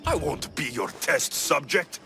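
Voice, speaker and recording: A wry mercenary hero from an ancient Greek adventure game. He is blunt and dry, with lively shifts of pitch, and music plays faintly behind him. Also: measured pace, creaky, full character voice. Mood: firm, probing. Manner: dry, direct. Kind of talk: video game character acting.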